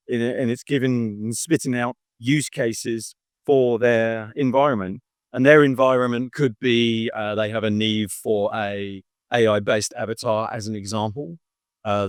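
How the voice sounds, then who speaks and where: A man from England, speaking as a corporate marketing director in a relaxed trade show interview, explaining fluently with plenty of "you know.". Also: medium pitch, dry recording, clean studio sound.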